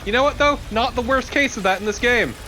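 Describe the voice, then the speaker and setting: A man's voice: very high voice, fast, pushed and bright, a little hiss or hum. A man co-commentating on a video game in a blunt, opinionated, casual way. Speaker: let's play co-commentator.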